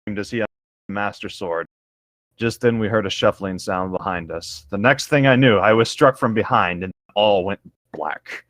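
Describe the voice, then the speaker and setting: A man's voice: fairly low pitch, normal speed, fairly bright tone, dry recording, studio quality. A man doing a theatrical, comic reading aloud with casual, jokey asides.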